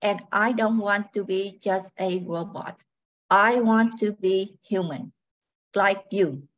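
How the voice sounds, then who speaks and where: A woman playing a polite robot wife in a radio play, speaking in a measured, matter-of-fact, coolly apologetic way. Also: mid-range voice, highs cut off, natural voice. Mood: matter-of-fact, insistent. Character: polite robot wife draining brain cells to become human.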